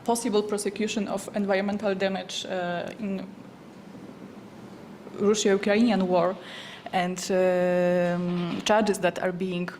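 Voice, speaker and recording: A woman whose first language is Polish, asking a formal question at an academic workshop, pausing carefully with fillers. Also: mid-range voice, flat delivery, darkish tone, slight background noise. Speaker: workshop participant.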